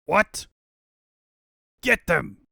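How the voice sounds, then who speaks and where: A man's voice: sits high, sing-song, extremely slow, gravelly, pushed and bright, no echo, clean studio sound, voice pushed up, full character voice. A man voicing a vain, pompous cartoon mad-scientist tyrant, grandiose and given to bellowed orders. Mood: angry.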